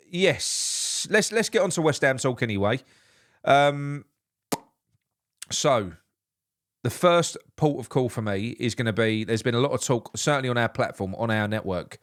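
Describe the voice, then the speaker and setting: A fairly low-voiced man hosts a solo morning show about football. His nose is blocked and his voice sounds nasal. He rambles, restarts his sentences and argues his opinions with conviction. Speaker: football podcast host.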